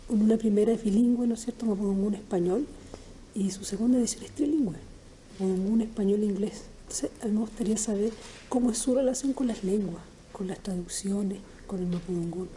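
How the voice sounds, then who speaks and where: A woman interviewer asking formal, polite questions in a measured way. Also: mid-range voice, mellow, a little hiss or hum.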